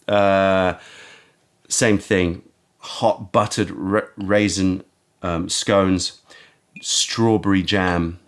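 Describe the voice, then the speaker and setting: A man with a very low, croaky morning voice describes a tea's flavours at an unhurried pace. He muses aloud and pauses often as he hunts for the right word. His speech stays relaxed and conversational. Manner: slow, musing, searching for words. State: croaky, just woken up. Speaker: tea shop host. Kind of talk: casual tea tasting narration.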